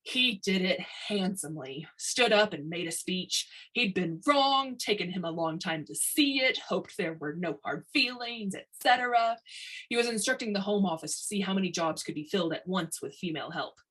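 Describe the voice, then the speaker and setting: A woman narrates a short story aloud in a husky voice at a middle pitch. She reads as a storyteller, carrying a first-person tale. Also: lively intonation, moderate pace, fairly bright tone, poor audio. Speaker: reader of short stories.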